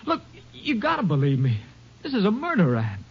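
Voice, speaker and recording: An actor playing a scared, defiant young ex-con, agitated and pleading, with a husky voice in an old radio crime drama. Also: male voice, high voice, huge pitch swings, brisk, full character voice. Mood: desperate, protesting. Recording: muffled old radio recording.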